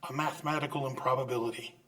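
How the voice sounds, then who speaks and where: A man's voice: medium pitch, steady tone, crowd noise, close to an everyday voice, poor audio. A man playing a crotchety, stubborn old miser who stammers and exclaims in an old-time radio drama. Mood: scornful disbelief. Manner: halting, exclamatory, stammering in fright.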